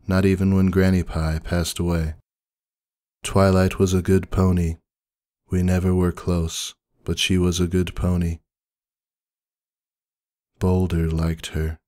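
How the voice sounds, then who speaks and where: Dude voicing a deadpan big sister pony telling a story, real low voice, no emotion, super dry recording. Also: male voice, no echo. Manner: deadpan, flat, terse. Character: stoic, emotionless older sister pony, female, narrating. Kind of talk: dramatic first-person story reading.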